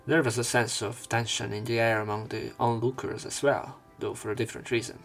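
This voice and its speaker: A man's voice: mid-range voice, level tone, a little fast, creaky, fairly bright tone, faint music behind. A man narrating a visual novel let's play, reading the story aloud with casual commentary.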